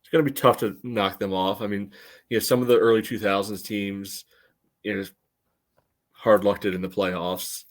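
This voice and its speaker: Low-voiced man, halting sports talk. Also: fairly even intonation. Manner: halting, hedging, thoughtful. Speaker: podcast co-host, club historian. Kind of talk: casual sports podcast chat.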